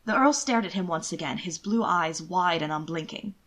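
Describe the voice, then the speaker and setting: A woman reading scripted period fiction aloud as an audiobook narrator, in an expressive, theatrical storytelling manner. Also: fairly low pitch, normal speed.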